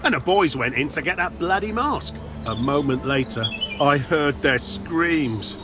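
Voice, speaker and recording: An actor playing a frightened, rough-spoken dungeon guard in a video game, giving an urgent, blunt report. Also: male voice, fairly high pitch, lively intonation, measured pace, music in the background. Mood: shaken. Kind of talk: dramatic video game cutscene acting.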